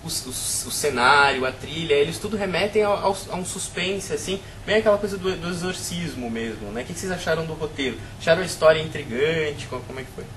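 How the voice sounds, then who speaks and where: A Brazilian man hosting a film review discussion, asking his panel questions in a quick, casual way. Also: fairly high pitch, lively intonation, fairly bright tone, slight background noise. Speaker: host.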